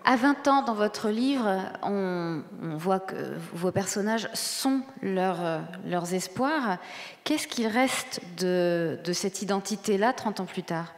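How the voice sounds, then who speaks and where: A woman journalist moderating a literary round table, speaking in a measured way with some fillers and putting direct prompts to the guests. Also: mid-range voice, animated. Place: public event hall. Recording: echoey hall with long reverb.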